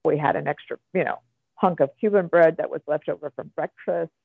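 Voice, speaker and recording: A Cuban-born man talks over a tinny phone line, his voice gravelly and a touch high for a man. He rambles in a friendly way, full of fillers and restarts. The sound is dry and close. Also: fairly even intonation, a little fast, dry recording. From Cuba. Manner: chatty, rambling with many fillers. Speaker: guest. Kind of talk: radio interview.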